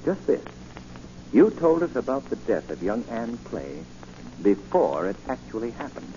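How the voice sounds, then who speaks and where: An actor playing a suave, composed gentleman sleuth, courteous and assured, in 1940s radio style. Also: mid-range voice, very animated, unhurried. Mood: intrigued, reassuring. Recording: muffled, hissy 1940 radio recording.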